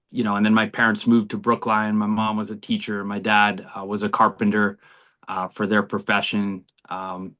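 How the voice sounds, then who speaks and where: Middle-aged man, low-pitched, earnest and reflective interview guest. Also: a bit bright. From United States, near Boston. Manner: earnest, reflective, many ums and you knows. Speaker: college baseball head coach.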